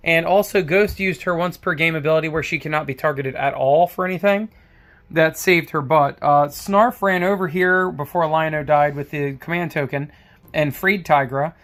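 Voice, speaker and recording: A man getting over a cold, hoarse, narrating a tabletop wargame battle recap as its independent designer, with plenty of ums and uhs. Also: on the high side, moderate pace, fairly bright tone. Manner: rambling play-by-play with many fillers.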